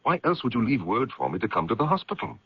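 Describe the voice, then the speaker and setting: A man acting in an old-time radio drama as an earnest, protective, excitable magazine editor, theatrical and swinging from hushed to emphatic. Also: medium pitch, fast talker, breathy edge. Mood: anguished, indignant. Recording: dull lo-fi radio drama recording.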